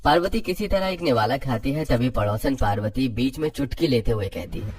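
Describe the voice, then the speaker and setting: A man's voice: mid-range voice, animated, a little fast, recorded from a distance, a little hiss or hum. A man narrating a scripted moral story.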